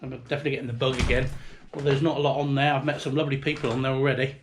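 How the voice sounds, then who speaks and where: A man's voice: mid-range voice, level tone, racing. Noisy handheld recording, middle-aged British man. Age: 50s. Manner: chatty, unpolished, rambling. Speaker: hobbyist video maker. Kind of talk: casual handheld show-and-tell. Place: outdoors beside a house, breezy. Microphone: handheld camera or phone.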